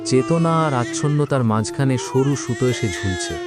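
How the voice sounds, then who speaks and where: A man reads a scripted horror story with dramatic delivery. His mid-pitched voice moves expressively up and down. Prominent music plays behind him. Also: animated, moderate pace. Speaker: narrator. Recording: loud background music.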